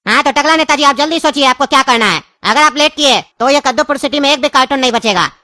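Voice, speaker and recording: A performer voicing an earnest, wordy, excitable cartoon character in the manner of a comic news report. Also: high voice, fast talker, dry recording, clean studio sound. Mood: urgent, earnest.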